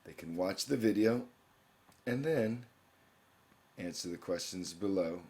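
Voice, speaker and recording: Deep-voiced man narrating a screencast. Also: expressive, measured pace, mellow. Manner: plain explanatory, reading quiz questions aloud. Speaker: teacher.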